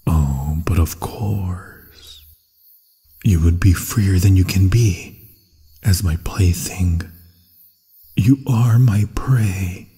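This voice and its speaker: A man voicing a possessive, predatory werewolf in an ASMR fantasy roleplay, speaking in a breathy, often whispered voice with a menacing, coaxing manner. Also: deep voice, warm tone, in a large hall, pitched below the performer's own voice, heavily acted. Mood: hungry, possessive. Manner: slow, hungry, menacing coaxing. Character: predatory, possessive male werewolf hunting its human prey.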